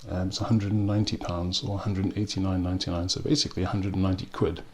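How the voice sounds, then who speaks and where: A man from South Africa with a South African accent, reviewing a rum in a relaxed way with frequent pauses and ums. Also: sits low, a little hiss or hum. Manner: unhurried, with frequent ums and restarts. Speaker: spirits reviewer. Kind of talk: casual spoken tasting review.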